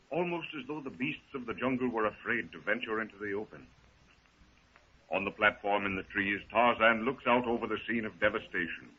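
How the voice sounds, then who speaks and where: A man's voice: lowish voice, slightly rough. A man narrating a 1930s radio drama from a script in formal storytelling style. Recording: thin, dull 1930s radio recording.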